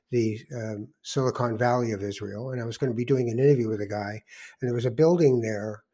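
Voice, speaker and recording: An older Canadian man hosts a podcast with a husky edge to his voice. He talks casually and reflectively, wandering through his thoughts as if in conversation. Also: mid-range voice, darkish tone. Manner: rambling, reflective storytelling with digressions. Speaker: podcast host.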